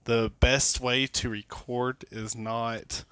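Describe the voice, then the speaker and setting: A man rambles through a casual show-and-tell, tipsy and sniffly with a cold, full of fillers and restarts. His voice sits at an ordinary middle pitch. It is recorded close on a phone in a dry, dead-sounding room. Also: level tone, slow pace, a bit bright, clear, resonant tone, dry recording. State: tipsy from drinking. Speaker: card collector vlogger. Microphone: phone camera.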